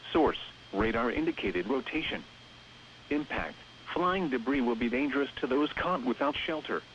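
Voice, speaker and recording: A synthetic-sounding man reads scripted emergency weather announcements over the radio in a measured, impersonal, clipped way. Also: mid-range voice. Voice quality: synthetic text-to-speech sound. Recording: muffled, hissy weather radio broadcast.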